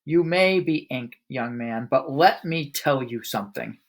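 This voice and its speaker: A man voicing a loud, bullying female headmistress who barks and sneers. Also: medium pitch, lively intonation, measured pace. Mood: scornful shouting. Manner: barking, scornful.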